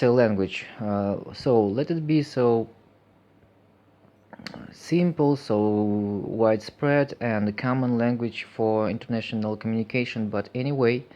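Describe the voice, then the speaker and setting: Slow, hesitant man with a Russian accent. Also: fairly low pitch, mellow, highs cut off. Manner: hesitant, halting, many fillers; reflective and earnest. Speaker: vlogger. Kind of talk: unscripted personal monologue.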